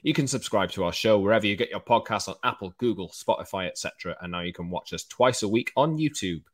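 A Welsh man leads a casual football podcast with an energetic, chatty delivery. His voice sits on the low side and the close mic sounds dry, with no room around it. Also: fast talker, no room sound. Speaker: podcast host.

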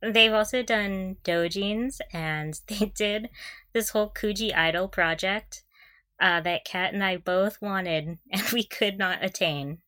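Bright-voiced, gushing woman podcaster. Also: mid-range voice, slow. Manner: gushing, giggly. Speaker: podcast co-host. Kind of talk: casual fan chat.

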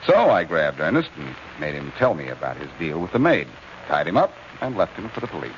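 An actor playing a confident, wisecracking amateur detective in a breezy 1940s radio drama manner. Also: male voice, low voice, very animated, a little fast. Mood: explaining. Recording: muffled, faintly noisy old radio recording.

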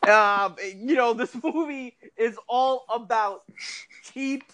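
High-pitched man on a mocking, exasperated rant. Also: takes it slowly, a loud crowd. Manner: exasperated, mocking, emphatic, sweary. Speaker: podcast co-host. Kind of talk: casual pop-culture rant.

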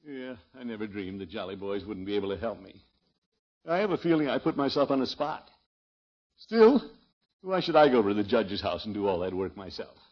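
A man's voice: fairly low pitch, very animated, a little fast. A man playing a pompous, grumbling middle-aged uncle in an American accent, with blustery, muttering delivery in 1950s radio comedy style. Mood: reluctant. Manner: blustery, muttering, hemming and hawing. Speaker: comic lead actor in radio sitcom. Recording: muffled vintage 1950s radio recording.

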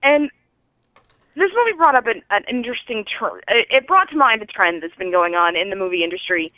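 A woman calling in to a podcast over a thin phone line, giving casual movie feedback in a halting, dryly puzzled way. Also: medium pitch, no echo. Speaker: caller to a podcast. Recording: thin, band-limited telephone line.

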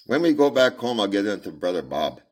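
A man's voice: big pitch swings, a little fast, darkish tone. A man voicing a small girl lost in the desert, trusting and cheerful, in a raised childlike voice. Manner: high, childlike put-on voice. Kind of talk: amateur audiobook reading.

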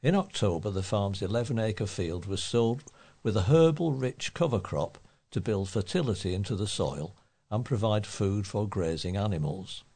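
A man's voice: on the low side, normal speed, warm tone, breathy edge. A man reading a local newspaper report aloud as a volunteer newsreader. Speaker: volunteer local news reader.

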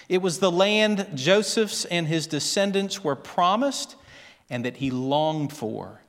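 A man preaching a sermon, speaking slowly and deliberately and acting out quoted voices with drama. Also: a little high, a bit bright. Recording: long hall reverb.

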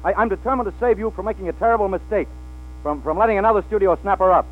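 An actor playing a hard-drinking, flirtatious male film star in a 1940s radio drama, halting and repeating his words. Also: high voice, lightly acted. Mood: excited, insistent. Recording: old 1940s radio, tinny with hum.

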